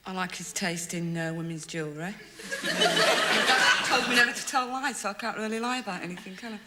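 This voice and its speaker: A young woman with a Yorkshire accent, a game show contestant recounting a date with dry, cheeky deadpan. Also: mid-range voice, a little fast. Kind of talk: recorded date recap interview. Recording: noisy 1980s TV recording.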